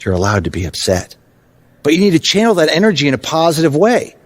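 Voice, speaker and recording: A man playing a stern, frank veteran basketball coach in a video game cutscene, blunt and firm but supportive in a measured delivery. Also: fairly high pitch, huge pitch swings. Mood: firm, urging.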